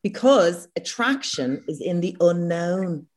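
A woman's voice: fairly low pitch, animated, slow. A woman with an Irish accent, co-hosting a casual comedic chat, frank and self-mocking. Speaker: podcast co-host.

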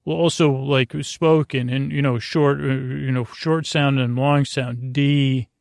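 A man with a gravelly, creaky voice talks very close and dry, as if hosting a bedtime show. His delivery is halting and meandering, drifting through mumbly, whimsical tangents meant to send listeners to sleep. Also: medium pitch, steady tone, a little mellow, dry recording. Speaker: podcast host.

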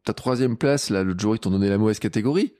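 A man hosting a casual podcast conversation, chatty and animated in his storytelling. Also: lowish voice, quick pace, dry recording. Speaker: podcast host.

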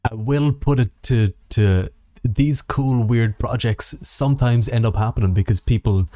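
A male podcast co-host recorded very dry, with no room echo. His voice sits at a medium pitch, and he talks in an informal, chatty way with wry asides and some swearing. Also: unhurried, mellow. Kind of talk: casual movie and game chat.

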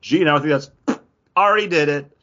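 A man co-hosting a podcast, chatting casually about comics and acting out reactions in an animated way. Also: a little high, moderate pace, muffled recording. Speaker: podcast co-host.

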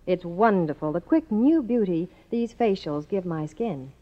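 A woman's voice: fairly low pitch, lively intonation, a little slow. A woman spokesperson delivering a scripted soap advertisement in 1950s radio style. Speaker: commercial spokeswoman. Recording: muffled 1950s radio broadcast.

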